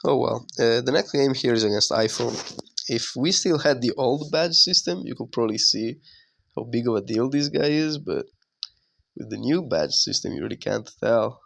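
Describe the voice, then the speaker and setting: Hoarse, gravelly-voiced sick young man. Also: medium pitch, moderate pace. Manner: relaxed, conversational with frequent fillers. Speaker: gameplay commentator. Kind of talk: casual game commentary voice-over.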